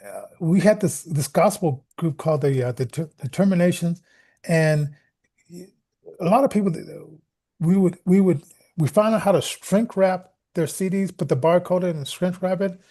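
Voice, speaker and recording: A middle-aged American man with a mellow, slightly higher-pitched voice tells stories in a casual chat. He speaks haltingly, circling back on his words, and livens up when he quotes people.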